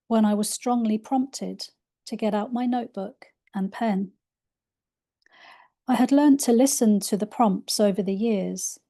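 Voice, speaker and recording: Slow, mellow-voiced woman reading her book. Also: mid-range voice. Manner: soft, unhurried, spiritual. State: slightly croaky voice.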